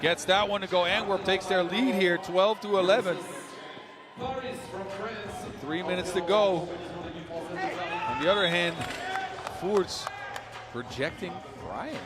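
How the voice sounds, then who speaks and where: A man's voice: sits high, slow, pauses often, over a noisy crowd. A man giving conversational play-by-play commentary on a live basketball game, lifting his voice on big plays. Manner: conversational, excitable on big shots.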